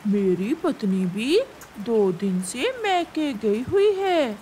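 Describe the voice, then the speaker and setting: Cartoon crow dad, female performer, highish pitch, very animated melody, polite and full of regret. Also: slow, slight background noise. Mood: polite, welcoming. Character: polite, remorseful male crow, a grieving father. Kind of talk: Hindi animated moral-story dubbing.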